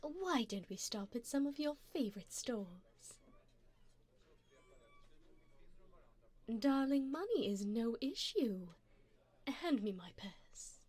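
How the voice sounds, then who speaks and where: A woman performs an elegant, moneyed vampire mistress for an ASMR romance roleplay. Her voice sits on the high side and swoops expressively. She coaxes and pampers with refined endearments, indulgent and doting throughout. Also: big pitch swings, unhurried, a little mellow, breathy edge, full character voice. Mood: indulgent, reassuring. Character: wealthy, doting older vampire sugar mommy, indulgent and elegant.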